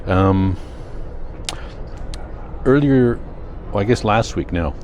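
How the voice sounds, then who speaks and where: An older man with a Canadian accent, chatting casually in a rambling vlog, thinking aloud in a halting, self-correcting way. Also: fairly low pitch, a little mellow, lo-fi sound, slight background noise. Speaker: vlogger.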